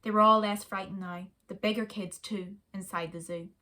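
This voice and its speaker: A woman with an Irish accent, a volunteer reader, reading a children's story aloud in a steady, expressive way. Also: mid-range voice, normal speed.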